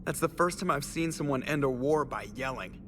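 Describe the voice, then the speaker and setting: Male actor as cocky starship pilot, slightly high pitch, easygoing, joking, sardonic, video game banter, noticeable background noise. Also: fairly quick, fairly bright tone, distant mic, close to an everyday voice. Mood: wry, upbeat. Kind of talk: scripted video game acting. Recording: clear background noise and ambience.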